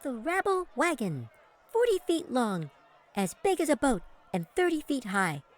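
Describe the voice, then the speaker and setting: A man's voice: very high voice, huge pitch swings, measured pace, pitched above the performer's own voice, exaggerated voice. A man voicing a tiny, sprightly female fairy with a small, thin voice in a fantasy audiobook. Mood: proud. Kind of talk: fantasy audiobook character acting.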